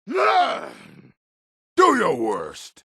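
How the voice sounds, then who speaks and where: An actor voicing a proud, gruff orc warrior in a fantasy video game, gravelly and husky, with a stern, blunt delivery. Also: male voice, high-pitched, wildly swooping pitch, drawn-out words, fairly bright tone, no room sound, studio quality, exaggerated voice. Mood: strained battle shouting.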